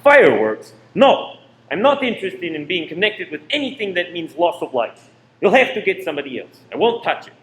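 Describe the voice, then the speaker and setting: A man's voice: sits high, sing-song, brisk, a bit bright. A man playing a proud but uneasy hired saboteur in a radio play, defensive and emphatic. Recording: echoey, faintly noisy room.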